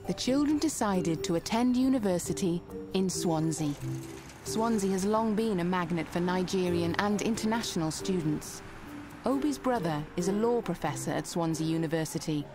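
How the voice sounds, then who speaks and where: A woman giving measured, scripted documentary narration. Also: on the low side, expressive. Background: music.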